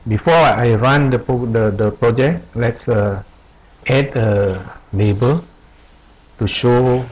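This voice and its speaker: A man presenting an unscripted software tutorial, explaining step by step in short, halting phrases. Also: medium pitch, rough recording. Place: voice-over on a screen recording.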